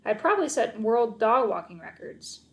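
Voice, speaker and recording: A woman narrating a children's story aloud in an expressive read-aloud style. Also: a little high, moderate pace.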